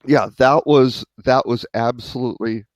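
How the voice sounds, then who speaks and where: A man's voice: mid-range voice, moderate pace, dry recording. A man co-hosting a live marketing show, chatting casually and thinking aloud with fillers. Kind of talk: casual live-stream discussion.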